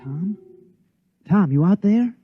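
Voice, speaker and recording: An actor playing an eager, chummy village tavern keeper with a folksy, coaxing way, in a 1950s radio drama. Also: male voice, medium pitch, big pitch swings, fast talker, faint music behind, lightly acted. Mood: urging. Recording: dull vintage radio recording.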